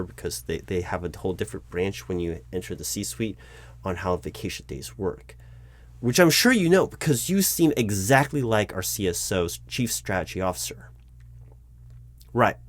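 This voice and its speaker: A man with a fairly low voice plays an awkwardly polite corporate hiring manager in an improvised audio roleplay. He talks hesitantly, stammering and doubling back on words as he addresses an unheard applicant, sounding flustered. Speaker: roleplay performer playing a recruiting manager. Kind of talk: improvised one-sided job interview roleplay.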